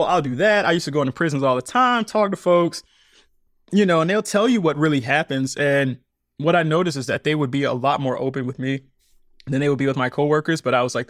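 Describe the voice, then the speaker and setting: A man in his thirties, a podcast guest, telling his story in a conversational interview with quick laughs. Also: on the high side, no echo, studio quality.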